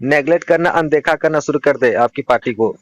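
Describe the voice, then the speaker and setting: Higher-pitched male news interviewer. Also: fairly quick, no room sound, muffled recording. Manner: formal, probing, interjecting.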